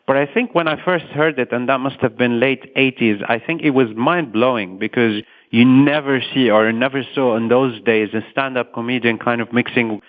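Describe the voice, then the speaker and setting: Lebanese-accented man, hesitant guest, tinny phone sound, medium pitch. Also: normal speed, hardly any pauses. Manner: thoughtful, hesitant with fillers and restarts. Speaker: guest, satirist. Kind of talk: casual interview talk. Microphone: remote phone or call line.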